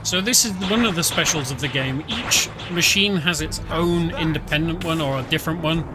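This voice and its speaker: A man's voice: a little high, moderate pace, background noise. A British man giving casual, chatty commentary while playing a game, explaining as he goes with many fillers. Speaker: game streamer. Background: game music.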